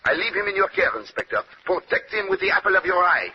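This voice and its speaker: Self-assured detective, French accent, formal, slightly high voice, vintage radio drama, dull hissy recording. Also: male voice, sing-song, fast. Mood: brisk, intent. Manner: formal, courtly phrasing with French exclamations. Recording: dull, noisy old radio broadcast.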